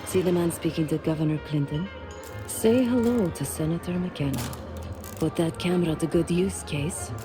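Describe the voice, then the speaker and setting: An actress playing a cool, shrewd spy in a video game, briefing in a composed, dry, confiding way. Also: female voice, fairly low pitch, moderate pace, lightly acted. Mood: cool, businesslike. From outside the United States. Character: cool, shrewd female spy posing as trophy wife. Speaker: covert operative. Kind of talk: acted game cutscene dialogue. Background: music.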